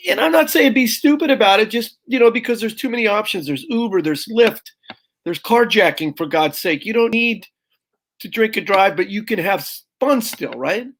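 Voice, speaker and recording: A man's voice: sits high, animated, normal speed, fairly bright tone. Brash joke-cracking comedian man. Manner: brash, punchy, calls people "brother". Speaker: guest comedian. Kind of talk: stand-up style joke telling.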